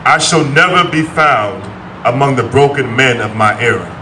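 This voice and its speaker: A man giving a solemn, deliberate dramatic reading as a defiant imprisoned revolutionary, in an oratorical manner. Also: medium pitch, lively intonation, pushed and bright. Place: live staged reading, recorded from a distance. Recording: distant microphone, clear background noise.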